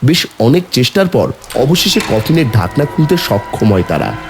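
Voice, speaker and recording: A man's voice: mid-range voice, very animated, moderate pace, soft background music. A man narrating a horror story in the first person as a somber young man, brooding and suspenseful. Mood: eerie, suspenseful. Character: somber young man recounting an eerie burial.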